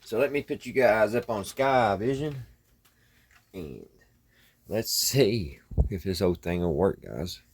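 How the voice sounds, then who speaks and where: Low-voiced man tinkering aloud over background noise. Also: expressive, slow, long pauses. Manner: folksy, thinking aloud. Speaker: vlog host. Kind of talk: casual hands-on vlog chat, talking to viewers while tinkering.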